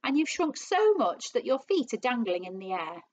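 A woman voicing a gleefully cruel, taunting husband in a storybook, with emphatic, drawn-out, mock-solemn menace. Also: on the high side, expressive, muffled recording. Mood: mocking, alarming.

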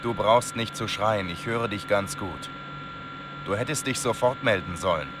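An actor playing a curt, coolly impatient elite soldier in a video game, terse and commanding. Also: male voice, on the low side, normal speed, background noise, lightly acted. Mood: cool irritation. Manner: terse, matter-of-fact, commanding. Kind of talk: video game voice acting, German dub.

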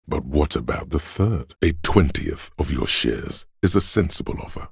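A man voicing a game character, the inner voice of cold logic, husky and gravelly, speaking in a measured, cerebral, matter-of-fact way. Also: rumbling low, no echo, studio quality, full character voice. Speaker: video game voice actor. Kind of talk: scripted game narration of inner thoughts.

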